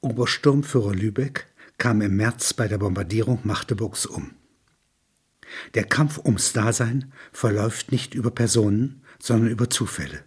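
A man delivers scripted literary narration in a documentary style. His voice is heavily husky and breathy. It sits somewhat low. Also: moderate pace.

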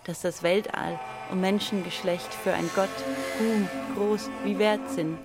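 A woman recites literary text for a radio play in a deliberate, declamatory manner. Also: medium pitch, steady tone. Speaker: reader of an inventor's writings. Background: music.

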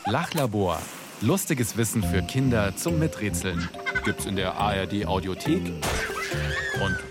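A man's voice: fairly low pitch, very animated, unhurried. A man reading a scripted promo announcement for a children's podcast. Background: loud jingle music.

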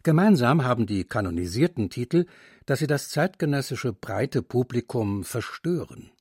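A man narrating a scripted radio feature with a lively, slightly wry delivery. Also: medium pitch, moderate pace, breathy edge, no room sound, clean studio sound. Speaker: documentary narrator.

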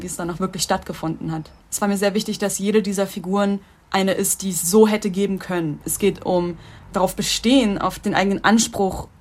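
A woman's voice: mid-range voice, darkish tone. A woman in her twenties, an author speaking off the cuff in an interview. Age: 20s. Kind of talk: spontaneous interview answers.

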